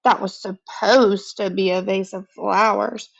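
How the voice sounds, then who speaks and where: A woman's voice: medium pitch, steady tone, a little slow, creaky, dull audio. A woman voicing a frustrated young boy in a storybook, curt and exasperated. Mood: discouraged. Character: frustrated young boy, snappish, discouraged about his drawing.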